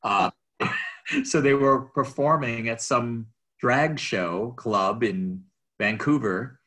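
A man's voice: mid-range voice, takes it slowly, sharp tone. A middle-aged man from Minnesota who grew up speaking Greek, chatting as an interview guest, rambling with restarts and chuckles. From Minnesota, USA. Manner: rambling, restarts, chuckles while telling stories. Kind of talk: casual interview chat.

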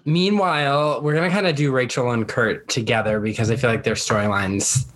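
Casual mid-pitched man recapping TV. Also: fairly quick, a bit bright, low-quality recording. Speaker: podcast co-host. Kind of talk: casual chat recapping a TV show.

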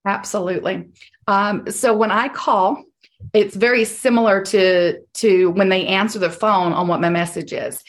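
A woman's voice: medium pitch, fairly even intonation, moderate pace. A woman coaching salespeople on a group call, direct and emphatic, repeating her key points, and losing her voice.

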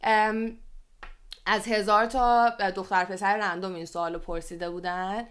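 Female podcast co-host, Iranian Persian accent with English loanwords. Crisp, clean tone, conversational, explains and asks. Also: medium pitch, fairly even intonation, a bit bright. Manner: explanatory, poses questions to co-host. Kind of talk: conversational podcast discussion, presenting survey figures.

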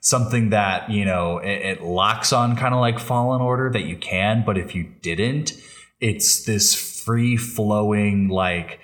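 A man's voice: on the low side, unhurried, fairly bright tone. A man co-hosting a podcast in casual chat, talking in a rambling, hesitant way. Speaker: podcast co-host. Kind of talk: casual chat about Star Wars games and shows.

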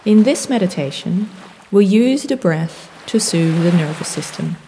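A woman leading a guided meditation in a calm, gentle, soothing and measured manner. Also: fairly low pitch, slight background noise. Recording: long reverb, background wash of waves. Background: relaxing ocean waves.

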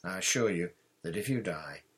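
Stern older female magic teacher, male reader, storybook; unhurried, exact, dryly no-nonsense. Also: medium pitch, expressive, breathy edge, pitched above the performer's own voice. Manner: precise, matter-of-fact, dry.

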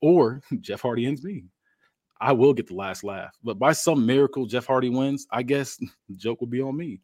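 A man's voice: mid-range voice, normal speed, darkish tone, dry recording, lightly acted. Guy doing a sadistic cocky wrestler bad guy, taunting promo, theatrical n bossy, dry sound. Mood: scornful gloating. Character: cocky, sadistic, taunting male wrestling villain with fake remorse. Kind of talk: dramatic acted wrestling promo.

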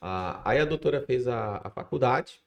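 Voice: mid-range voice, expressive, moderate pace. A talk show host asking a guest questions in casual chat, sometimes groping for words. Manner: chatty, asks questions, loses track of words.

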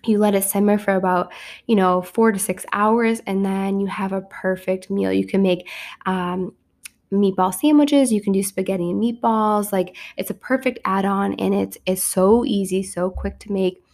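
A woman's raspy, gravelly voice, worn down by illness. She hosts her podcast in an easy, upbeat chat, rambling along with fillers and restarts at a middling pitch. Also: steady tone, moderate pace, a little mellow. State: sick, resting her voice. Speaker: podcast host. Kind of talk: casual chat.

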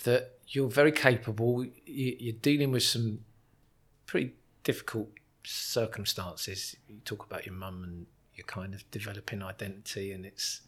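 A man hosting a podcast interview, asking questions and summing up his guest's story in a measured, encouraging way. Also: lowish voice, fairly even intonation, fairly bright tone, breathy edge. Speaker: podcast host. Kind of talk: interview conversation.

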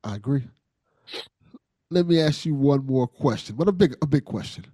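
A man's voice: medium pitch, animated, normal speed, warm tone, husky, dry recording. A man hosting a podcast, asking questions in a casual, conversational way. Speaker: podcast host. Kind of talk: casual interview chat.

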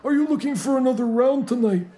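A man's voice: extremely high pitch, fast talker, warm tone. A man playing a polite, obliging bartender in a fiction podcast. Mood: courteous. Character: courteous bartender serving a customer.

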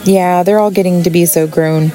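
A woman's voice: fairly low pitch, fairly even intonation, normal speed, warm tone, close to an everyday voice. A woman playing a caring, busy mother in a radio play, with naturalistic acting. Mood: friendly, reassuring.

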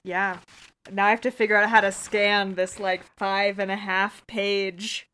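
A woman with a mid-pitched voice talks casually as a podcast co-host. She banters in a playful, argumentative manner, like someone debating among friends. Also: level tone, unhurried, bright, forceful tone, clear, resonant tone.

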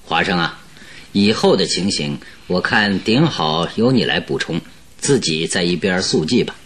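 An actor playing a composed, shrewd private detective in a Mandarin radio drama, speaking in a measured, instructing manner. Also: male voice, deep voice, lively intonation, a bit bright. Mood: composed, confiding.

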